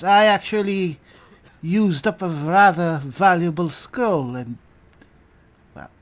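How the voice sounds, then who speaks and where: A man playing a skeptical high elf spellsword, musing and sardonic in a roleplayed video game. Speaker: player roleplaying his game character.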